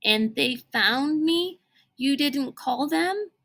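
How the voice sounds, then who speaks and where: A man's voice: very high-pitched, animated, slow, pushed and bright, voice pushed up, exaggerated voice. A woman voicing an anxious, sensitive young boy in a storybook, nervous and hesitant. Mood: unsure.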